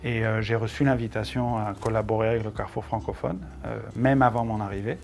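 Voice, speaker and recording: A man from Mexico City whose native language is Spanish, a finance director speaking slowly in an interview and searching for words. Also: on the low side, steady tone, gravelly, faint music behind. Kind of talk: interview testimony.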